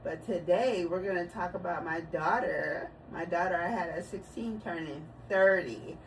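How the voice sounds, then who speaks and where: A woman's voice: fairly low pitch, moderate pace. Chatty woman in her forties, distant mic. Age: 40s. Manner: chatty, storytelling, laughs easily. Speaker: vlogger. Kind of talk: casual family chat. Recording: distant mic, noisy room.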